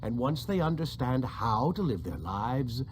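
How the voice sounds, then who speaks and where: Condescending scientist, lively intonation. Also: male voice, medium pitch, measured pace, a little hiss or hum. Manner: lecturing, condescending, clipped. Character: condescending, impatient older male scientist, coldly idealistic villain. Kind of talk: dramatic video game acting.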